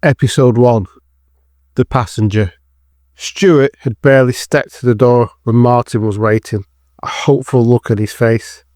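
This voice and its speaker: A man's voice: medium pitch, fairly even intonation, unhurried, a little mellow, no room sound, studio quality. A man narrating a scripted dramatic story as the narrator of an audio drama.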